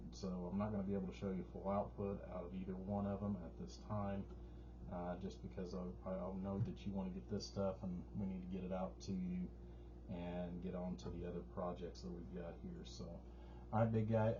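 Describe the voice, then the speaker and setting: A man's voice: deep voice, flat delivery, brisk. A man casually talking through an amplifier demonstration in a folksy, rambling, informal way, as a repair technician. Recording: muffled sound with clear background noise.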